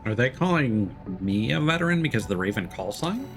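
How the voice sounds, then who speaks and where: A man's voice: medium pitch, big pitch swings, fairly quick, soft background music. A man giving casual, offhand and wry live commentary as he streams a video game.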